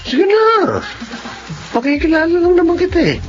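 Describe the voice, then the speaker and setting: A man's voice: very high voice, moderate pace. An actor playing a chummy, teasing young male co-worker with a Filipino accent in a radio drama. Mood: teasing, coaxing. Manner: animated, teasing. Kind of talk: Tagalog radio drama acting. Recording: dull track with music behind.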